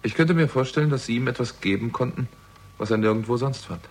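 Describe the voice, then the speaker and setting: A man's voice: mid-range voice, quick pace, close to an everyday voice. A man playing a dry, probing investigator in a German radio crime drama, speaking in a laconic, understated way. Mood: thoughtful. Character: dry, probing male theatrical agent turned investigator. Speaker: lead actor and narrator.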